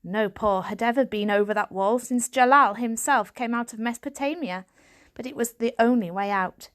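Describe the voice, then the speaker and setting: A woman's voice: fairly high pitch, normal speed. A woman reading a children's audiobook as a dramatic scripted narration. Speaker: audiobook reader.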